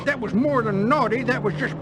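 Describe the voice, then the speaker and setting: Very high-pitched bumbling Southern sheriff. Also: male voice, a little fast, heavy background noise. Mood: fussy, petulant. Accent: American South. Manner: stammering, repeats himself. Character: bumbling, excitable county sheriff, silly and fussy.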